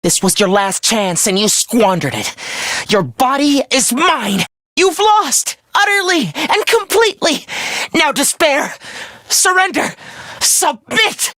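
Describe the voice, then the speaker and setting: A man's voice: high-pitched, expressive, moderate pace, few pauses, no room sound, studio quality. A male actor voicing a sadistic young male villain in a video game, taunting and theatrical with a mockingly polite, obsessive manner. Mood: manic, triumphant. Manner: taunting, theatrical, mock-cheerful.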